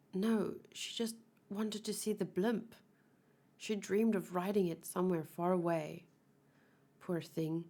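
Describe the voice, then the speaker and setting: A woman's voice: lowish voice, darkish tone. A woman reading aloud a manga's contemptuous, bigoted soldier in a cold, condescending manner. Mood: mock pity.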